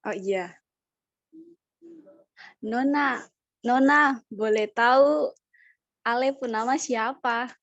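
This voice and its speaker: A young woman from Maluku speaks up in an online class with short, unsure replies. Her voice is a little high and comes through a dull, muffled video-call line. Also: slow pace, long pauses, fairly bright tone. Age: young adult. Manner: hesitant, brief replies. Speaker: student. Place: home, rain outside.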